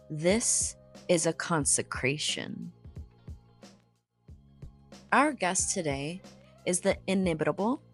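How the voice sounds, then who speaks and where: A woman hosting a podcast speaks in a lowish voice, slowly and solemnly, weighing each word. Her questions are reflective and deliberate. Soft music plays faintly underneath. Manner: slow, deliberate, solemn delivery. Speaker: podcast host. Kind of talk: scripted poetic introduction and interview questions.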